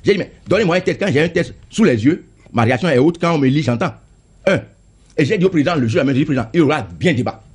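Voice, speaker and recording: Brief: man, MP in a heated debate, husky, rapid, insistent, repeats himself. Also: fairly high pitch, animated. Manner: insistent, repeats and stammers on words. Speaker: member of parliament.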